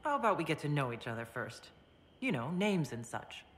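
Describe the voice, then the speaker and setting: A woman voicing a composed, questioning warrior leader in a video game, measured and direct. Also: sits low, big pitch swings, slight background noise. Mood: wary, curious.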